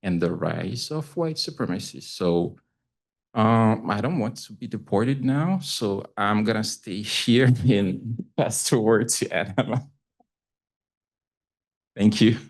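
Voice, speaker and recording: A man with a Haitian accent speaking as a panelist about his own experience, haltingly and searching for words. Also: fairly low pitch, expressive, gravelly. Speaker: panelist, community news correspondent. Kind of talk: panel talk, personal account.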